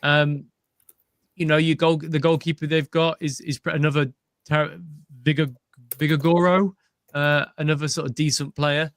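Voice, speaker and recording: A man's voice: fairly high pitch, level tone, normal speed, fairly bright tone, dry recording. A man co-hosting a casual football chat, hesitant with many fillers and restarts. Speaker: co-host, fan pundit.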